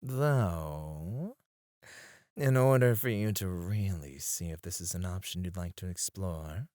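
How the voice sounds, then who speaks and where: A man acting a flirtatious, self-assured male stripper in an ASMR roleplay, suave and teasing, with breathy asides, close on the microphone. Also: sits low, slow, a little mellow, no echo. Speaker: ASMR voice actor.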